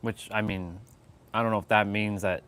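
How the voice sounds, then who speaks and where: A man's voice: on the low side, steady tone, moderate pace, fairly bright tone. A man hosting a casual livestream, rambling with many restarts and fillers and laughing often. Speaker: livestream host.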